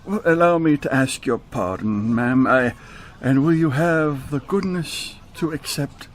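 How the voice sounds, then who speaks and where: A man's voice: medium pitch, unhurried, a little hiss or hum, natural voice. A man playing a reformed old miser, giddy and generous, in theatrical radio drama style with stammers and chuckles. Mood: giddy, courteous.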